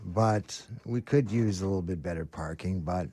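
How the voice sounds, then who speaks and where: A man chatting casually as a radio guest, plain-spoken and a little halting. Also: sits low, mellow. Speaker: guest, thrift store manager. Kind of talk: casual radio interview chat.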